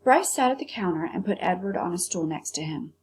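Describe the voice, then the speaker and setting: A woman's voice: fairly low pitch, animated, brisk, a little mellow, breathy edge. A woman teacher narrating a children's novel as a read-aloud.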